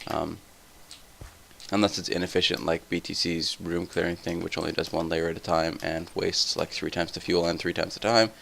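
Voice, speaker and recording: A tired young man with a somewhat deep voice, rambling through casual gameplay commentary full of tangents. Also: level tone, normal speed, creaky, a bit bright, slight background noise. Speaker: let's play host.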